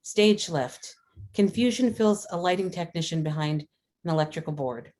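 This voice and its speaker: A woman's voice: lowish voice, animated, moderate pace, darkish tone. A woman reading scripted stage directions aloud at a script table read. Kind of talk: scripted narration of screenplay action.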